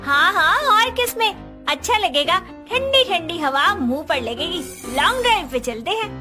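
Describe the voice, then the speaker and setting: A woman's voice: extremely high pitch, big pitch swings, normal speed, music in the background. A woman voicing a brash, self-assured young policewoman wife in a cartoon story. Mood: proud, cheerful. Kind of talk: Hindi cartoon story voice acting.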